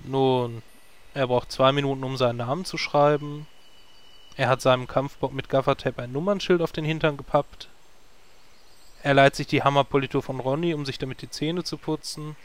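A man's voice: mid-range voice, fairly even intonation, lightly acted. A man playing a sarcastic young druid. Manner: wry, sarcastic, quick asides. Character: vain young male druid hero, sarcastic. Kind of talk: comedy audio drama acting.

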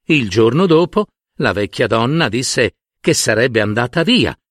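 A man narrating a fairy tale expressively as a storyteller, with dramatic, deliberate pauses. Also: mid-range voice, expressive, fairly bright tone, dry recording, clean studio sound.

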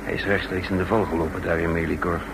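Through heavy noise on an old radio drama recording comes a composed male spy. He lays things out deliberately and calculatingly, as both narrator and character. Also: low-pitched, little pitch movement. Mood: calm, calculating. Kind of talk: Dutch radio play acting.

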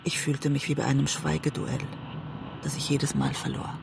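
Casting: introspective West German woman writer, measured literary radio-drama narration, gravelly fry, noticeable background noise. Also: a bass voice, level tone, exaggerated voice. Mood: obsessive, reflective.

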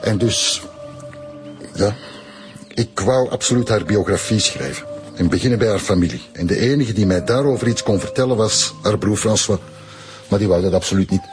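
A low-pitched Flemish man in his sixties, acted by a man, earnest and stubborn. He justifies himself in measured radio-drama dialogue. Music plays faintly behind him. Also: lightly acted. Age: 60s. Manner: measured, explanatory, self-justifying. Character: stubborn, earnest retiree and aspiring historical writer.

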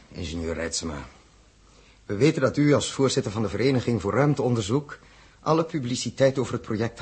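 An actor playing a suspicious pilot captain who presses urgently for answers in a 1960s radio drama. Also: male voice, lowish voice, husky. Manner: insistent, urgent.

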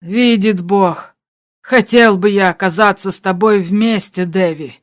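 An audiobook figure of a male spaceship crewmate, acted by a woman, talking over the radio at a middling pitch. He is concerned but steady, giving earnest and urgent advice. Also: a little slow, lightly acted. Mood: regretful. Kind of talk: audiobook character dialogue.

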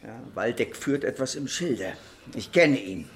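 An actor in a radio drama playing a grave leader of persecuted rebels, speaking in an earnest, declamatory way. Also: male voice, mid-range voice, lively intonation, unhurried, a little hiss or hum. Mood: alarmed, urgent.

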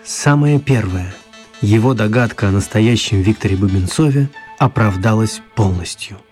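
A man narrating a scripted children's story in a measured, expressive manner. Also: lowish voice. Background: music.

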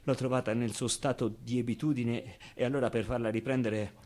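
A streetwise orphan boy, played by a man tells his story as a theatrical monologue in a mid-pitched voice. He is naive, wistful and crude, speaking expressively with halting repetitions and pauses, moving between hushed and excited delivery. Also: close to an everyday voice. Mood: eager, frustrated.

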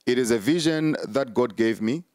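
A man's voice: medium pitch, normal speed, darkish tone, no room sound. A man, a prophet answering interview questions in an emphatic, repetitive preaching cadence with many fillers.

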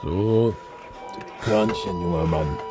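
An actor playing a gruff, familiar male pub barkeeper in a radio drama. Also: low-pitched, takes it slowly. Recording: loud music behind the voice.

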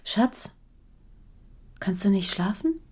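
An actress playing a weary, defiant wife, frank and reproachful, in a German radio crime drama. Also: female voice, medium pitch, lively intonation, takes it slowly, darkish tone, a little hiss or hum. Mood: concerned.

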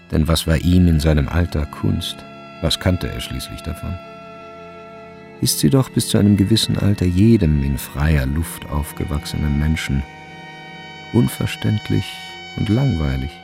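A man narrating a literary radio drama in a measured, grave and deliberate way. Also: low voice, creaky, a little mellow, husky.

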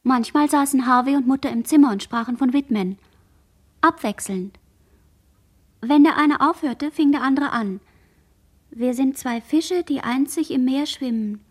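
High, husky girl, trance-like. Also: unhurried. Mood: incantatory. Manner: incantatory, fragmented, listing recitation. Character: traumatized girl, barely conscious witness, dreamily remembering. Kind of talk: literary radio play monologue.

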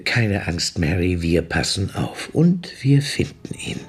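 An actor playing a coaxing, reassuring police inspector in a radio drama, prompting a witness with leading questions. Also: male voice, low-pitched, very animated, slow, faint music behind, full character voice.

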